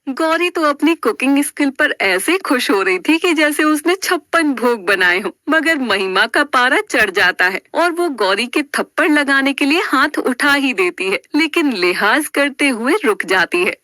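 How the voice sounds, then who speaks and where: A woman's voice: high voice, expressive, unhurried, few pauses, sharp tone, dry recording. A woman storyteller giving dramatic scripted narration of a story.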